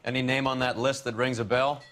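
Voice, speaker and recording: An actor playing a sly, mocking 1940s detective who trades wisecracks and insults. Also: male voice, mid-range voice, level tone, a little fast, background noise, lightly acted. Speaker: police detective partner. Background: music score.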